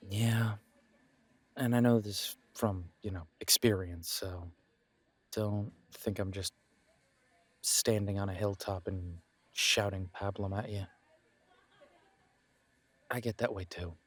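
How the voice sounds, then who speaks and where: A man playing a friendly, reassuring homebody best friend in an intimate one-sided roleplay audio, talking casually in halting, gently encouraging phrases. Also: on the low side, fairly even intonation, husky, no room sound. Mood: gentle, reassuring.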